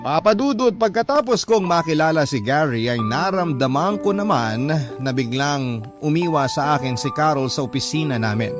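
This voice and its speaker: A mid-pitched male voice from an actor narrates as an earnest young Filipino man telling his love story. It is scripted radio drama narration over clearly audible music. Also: close to an everyday voice. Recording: clear music bed behind the voice.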